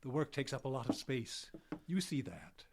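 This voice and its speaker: An actor playing an elderly, confused doctor in a radio drama, muttering and halting, irritable and evasive. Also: male voice, mid-range voice, sing-song, a loud crowd. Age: older. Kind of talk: live radio drama acting. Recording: clearly noisy live radio drama recording.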